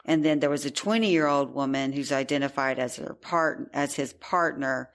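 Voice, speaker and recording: A woman with a low-pitched voice co-hosts a true crime podcast. She recounts the case in a chatty, conversational way, reading out the details as she goes. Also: moderate pace. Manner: chatty, laughs easily. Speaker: podcast co-host.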